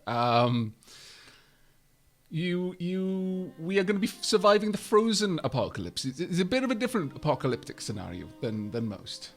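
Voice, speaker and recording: A fairly high-voiced man chatting on a live gameplay stream, rambling along with dry, wry humor and thanking viewers by name. Soft game music plays quietly in the background. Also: big pitch swings, normal speed.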